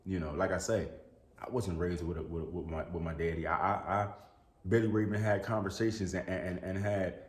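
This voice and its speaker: A man's voice: low voice, fairly even intonation, brisk. Earnest man in an echoey room. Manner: earnest and preachy, repeats "you know what I'm saying" and "bro". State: sneezing, a bit sick. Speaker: YouTuber. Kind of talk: casual talk to camera. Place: indoors.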